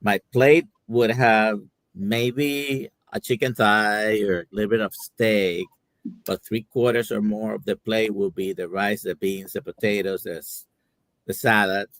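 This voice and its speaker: A man from Puerto Rico, a doctor co-hosting a live stream, talking in a relaxed, conversational way. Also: on the low side, a little slow, dry recording. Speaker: co-host, doctor. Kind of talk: casual chat on a live stream.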